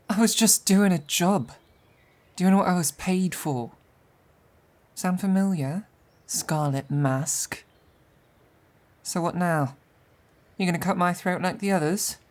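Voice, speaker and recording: A cocky bandit woman voiced by an actress in a fantasy audio drama. She has a low voice and talks with crude, mocking defiance, sneering at whoever she addresses. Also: slow, pauses often, a little mellow. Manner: sneering, taunting. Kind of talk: fantasy audio roleplay acting.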